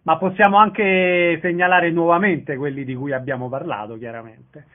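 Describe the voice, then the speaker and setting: Male radio host, fairly high voice, casual chat, thin band-limited broadcast sound. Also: steady tone, moderate pace, narrow, tinny audio.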